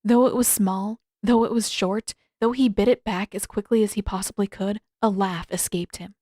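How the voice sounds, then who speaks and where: A woman's voice: mid-range voice, fairly even intonation, moderate pace, mellow, no echo, studio quality. A woman reading scripted fiction as an audiobook narrator.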